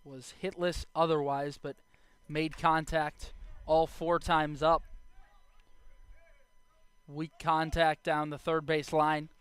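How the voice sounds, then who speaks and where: A young adult man doing live baseball play-by-play as the announcer, speaking in short clipped phrases with measured pauses. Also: a little high, flat delivery, fairly bright tone, clean tone, dry recording. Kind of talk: live sports play-by-play commentary.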